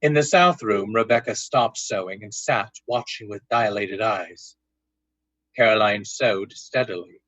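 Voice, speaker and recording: A man's voice: lowish voice, a little slow, fairly bright tone, no room sound, lo-fi sound. A man narrating a scripted drama in the dramatic manner of old-time radio.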